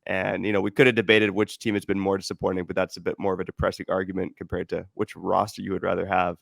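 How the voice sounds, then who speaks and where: Male podcast host, calm stat-driven analysis, lowish gravelly voice. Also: dry recording, clean studio sound. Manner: measured, stat-heavy, hedging with "you know". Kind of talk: casual sports analysis.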